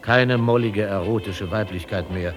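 An actor playing a chronicler who reports in formal, declarative documentary style within a radio drama. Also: male voice, lowish voice, moderate pace, soft background music, natural voice. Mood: brisk reporting. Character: male newsreel-style chronicler of 1920s Germany.

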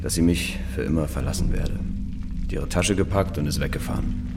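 Washed-up playboy, low voice, languid n sorry for himself, radio play w music. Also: male voice, a little fast. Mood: defensive, wistful. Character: hungover, self-pitying male island playboy, jilted. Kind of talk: naturalistic German radio drama dialogue.

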